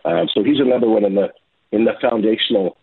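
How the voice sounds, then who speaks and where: Over a narrow, phone-like line, a Canadian man tells stories informally as an interview guest. His voice is husky and on the low side. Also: fast, no echo. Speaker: podcast guest, hockey scout. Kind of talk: informal anecdotal storytelling in an interview.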